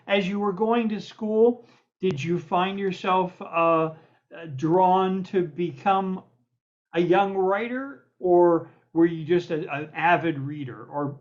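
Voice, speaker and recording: A man hosting an interview, asking friendly questions, repeating words and pausing with fillers. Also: sits high. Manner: halting, repeats words and fills with uh. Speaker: podcast host and interviewer. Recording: muffled lo-fi audio.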